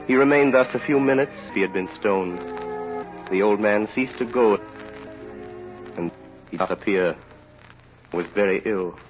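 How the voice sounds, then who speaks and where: An actor playing a grave, impassioned storyteller, narrating a tragedy solemnly and theatrically in an old radio drama. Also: male voice, lowish voice, measured pace, long pauses, over music, natural voice. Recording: muffled old radio recording.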